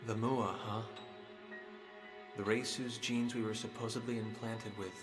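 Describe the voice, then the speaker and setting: An actor playing an earnest young hero and party leader in an anime-style game. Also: male voice, lowish voice, flat delivery, measured pace, close to an everyday voice. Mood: focused. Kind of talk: English-dubbed anime-style game acting. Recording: hall reverb, music behind.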